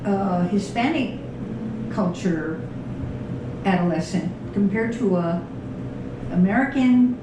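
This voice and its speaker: Slow-talking woman in a very echoey room. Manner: halting, thoughtful, many fillers. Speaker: podcast host, clinician. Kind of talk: interview conversation. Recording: very echoey room, distant mic, rumble.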